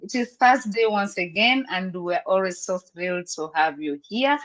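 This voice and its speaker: A woman's voice: mid-range voice, normal speed. Upbeat Ugandan woman hosting a live panel. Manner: upbeat, laughs often, switches between English and Luganda. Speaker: host.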